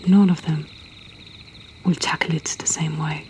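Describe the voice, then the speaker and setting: Woman whispering poems real slow, breathy, kinda flat intonation, some background noise. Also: deep voice. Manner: slow, deliberate, with long pauses. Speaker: host of a poetry reading. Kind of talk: whispered, intimate ASMR-like poetry reading by a storyteller.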